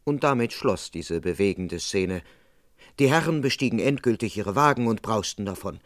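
An actor playing a dutiful police constable who narrates a comic German radio crime play in a formal, measured way. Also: male voice, lowish voice, expressive, no room sound, clean studio sound, natural voice. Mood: measured narrating.

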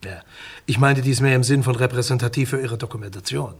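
An actor playing a formal, guarded public prosecutor in a German radio crime drama, courteous, bureaucratic and a little hesitant. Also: male voice, medium pitch, fast talker, gravelly, husky. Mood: reserved.

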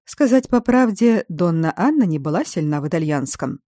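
A woman voicing a calm, learned male baron in an audiobook, speaking in a measured, explanatory way. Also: lowish voice, dry recording, clean studio sound. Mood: explaining.

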